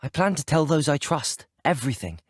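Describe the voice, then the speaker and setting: A man's voice: a little high, expressive, brisk, slightly rough, no echo, clean studio sound. A British man playing a polite, earnest, determined teenage student wizard in a video game, speaking articulate scripted dialogue. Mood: resolute. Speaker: video game player character.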